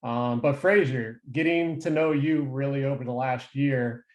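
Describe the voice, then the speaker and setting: A man's voice: mid-range voice, fairly even intonation, a little slow, reverberant. A male podcast host introducing his guest in a casual, hype-building way with frequent fillers. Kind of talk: interview introduction.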